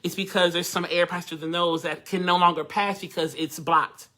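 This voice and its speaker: A young man with a high, nasal, twangy voice, teaching a live vocal workshop from his living room in a chatty, candid way. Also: flat delivery, a little fast, pushed and bright. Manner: chatty and candid, addresses the group directly.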